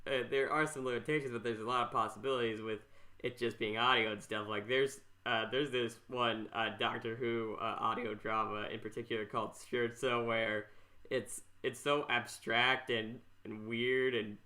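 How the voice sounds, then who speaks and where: A man hosting a podcast in casual chat, talking haltingly and rambling as he repeats himself. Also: mid-range voice, steady tone, sharp tone. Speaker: podcast host.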